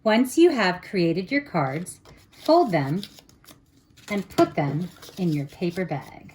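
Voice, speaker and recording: A woman teacher presenting a step-by-step lesson for children, with deliberate, encouraging delivery. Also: lowish voice, lively intonation, a little mellow, slightly rough. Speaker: teacher presenting an educational show.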